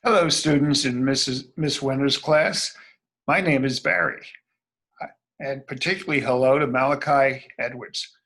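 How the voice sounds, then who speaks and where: A man reading a storybook aloud to schoolchildren in a deliberate, emphatic, expressive way. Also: medium pitch. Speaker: children's storybook reader.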